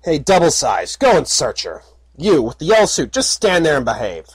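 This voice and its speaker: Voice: medium pitch, sing-song, moderate pace, breathy edge, dry recording. A storyteller voicing a stern male guard pony who barks orders. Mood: commanding. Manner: barking, commanding.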